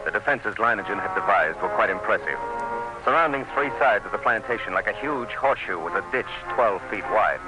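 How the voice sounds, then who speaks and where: A man's voice: on the low side, lively intonation, a little fast, lightly acted, rough recording. A man acting as an anxious, fascinated colonial commissioner in a radio drama, with grave, suspenseful and literary delivery. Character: anxious, fascinated commissioner recounting a jungle ordeal. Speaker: actor and narrator in a radio drama. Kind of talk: dramatic first-person radio narration and dialogue. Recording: radio drama with music behind.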